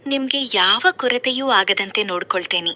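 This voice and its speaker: A woman's voice: mid-range voice, brisk, bright, forceful tone. A woman playing a domineering, insistent stepmother in a moral story. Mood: reassuring. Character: domineering stepmother doting on her own son.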